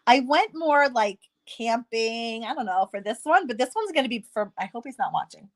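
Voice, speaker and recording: A woman hosting a casual card-making tutorial, chatty and self-deprecating, slightly hoarse with a stuffy nose. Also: fairly high pitch, lively intonation, moderate pace.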